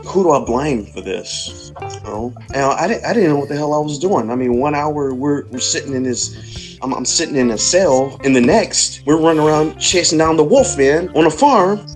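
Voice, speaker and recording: Halting man roleplaying over clear music. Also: on the high side, expressive. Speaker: player in a roleplaying game. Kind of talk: casual in-character roleplay. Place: home room, online video call. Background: clear guitar music.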